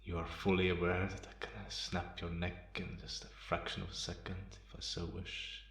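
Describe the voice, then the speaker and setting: A calm, menacing vampire, played by a man for an ASMR roleplay, speaks very low with a gravelly creak. His delivery is deliberate and taunting, kept in a narrow, even range. The sound is roomy and echoing. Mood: quietly menacing. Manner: deliberate, softly chuckling, taunting. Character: calm, amused, menacing male vampire, once human. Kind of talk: ASMR roleplay, slow intimate monologue.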